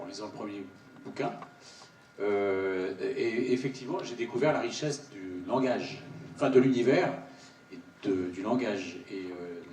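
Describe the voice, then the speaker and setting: A man's voice: medium pitch, a little mellow. Hesitant man in an echoey hall. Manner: hesitant, colloquial. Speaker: voice actor on a panel. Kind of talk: casual spoken panel answer. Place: large cinema auditorium. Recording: echoey hall, distant mic, faint noise.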